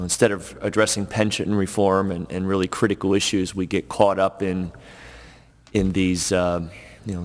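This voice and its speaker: A male panelist at a public forum, speaking in a measured way and hedging with fillers. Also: deep voice, big-room sound.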